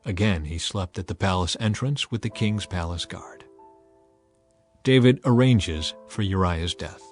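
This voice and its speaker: A man giving a measured, solemn, dramatized narration of scripture as an audiobook reader. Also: deep voice. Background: music.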